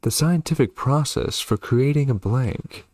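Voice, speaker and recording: A man voicing a darkly polite, menacing witch villain in a horror game, measured and theatrical. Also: medium pitch, darkish tone, a little hiss or hum, pitched above the performer's own voice, lightly acted. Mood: cool, calculating. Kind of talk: reading game dialogue in character.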